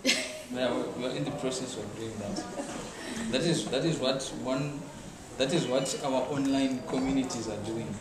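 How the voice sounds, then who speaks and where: A man's voice: medium pitch, normal speed, over a noisy crowd. A man speaking on a writers' panel, earnest and often repeating words as he goes. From Zimbabwe. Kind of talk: panel discussion. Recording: echoey room, far from mic, loud noise.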